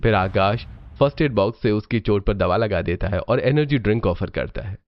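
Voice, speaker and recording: A man's voice: lowish voice, expressive, brisk, dull audio, a little hiss or hum. A man reading scripted narration for a cartoon family story.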